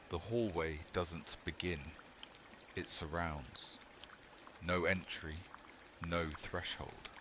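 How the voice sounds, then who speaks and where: Man, horror sleepcast narration, very slow pace, hushed measured delivery, long pauses, noisy static ambience behind. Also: low voice. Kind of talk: scripted second-person horror narration, ASMR.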